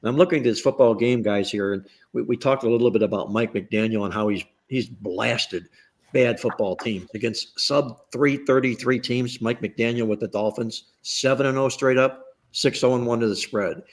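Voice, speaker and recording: A man's voice: medium pitch, moderate pace. A man hosting a sports betting podcast roundtable, chatty and stat-heavy, joking along the way while he is losing his voice. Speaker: podcast host.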